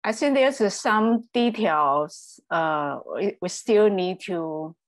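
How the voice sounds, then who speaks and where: A woman's voice: medium pitch, lively intonation, slow. A woman panelist speaking over a video call, hesitant and searching for words.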